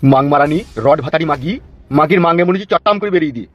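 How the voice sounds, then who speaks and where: Man playing a blustering, fast, high-voiced cartoon politician. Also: heavy background noise, natural voice. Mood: angry, scolding. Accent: rural West Bengal. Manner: blustering, stammers when agitated. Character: bullying, foul-mouthed, hot-tempered politician father. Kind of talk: comic cartoon dub. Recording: dull lo-fi sound over music.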